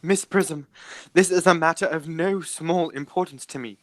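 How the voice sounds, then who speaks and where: A man playing an earnest, anxious young gentleman in a radio play, formal and insistent. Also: fairly high pitch, moderate pace, no room sound, lightly acted. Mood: urgent, agitated.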